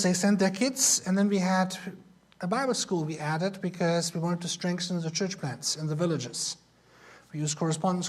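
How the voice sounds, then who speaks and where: A man with a German accent and a high-pitched voice, teaching a Sunday school class in a church with quick, self-deprecating humor. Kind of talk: informal Bible class talk.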